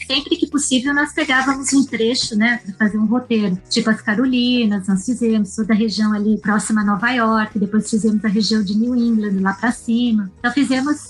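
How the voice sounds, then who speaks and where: A woman's voice: fairly high pitch, fairly even intonation, a little fast, few pauses, slightly rough, low-quality recording. A Brazilian woman, a podcast guest, telling casual anecdotes in a chatty way, often ending on little tag questions.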